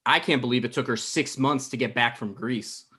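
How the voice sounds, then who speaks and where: A man plays a thirty-year-old boyfriend in a comedy script read over a video call. He is dry and defensive, with a medium-pitched voice. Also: normal speed, bright, forceful tone, slightly rough. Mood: earnest. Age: young adult. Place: indoor room on a video call.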